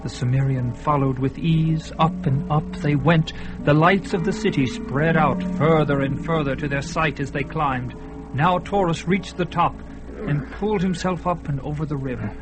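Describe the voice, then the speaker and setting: A man narrating a vintage radio drama, building tension with hushed and rising passages. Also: on the high side, normal speed, over music, poor audio.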